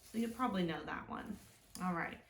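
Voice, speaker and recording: A woman leading a children's storytime as a librarian, reading aloud expressively and talking gently and simply to young listeners. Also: on the low side, brisk, slight background noise. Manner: gentle, simple, encouraging talk aimed at small children. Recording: roomy, echoing indoor sound.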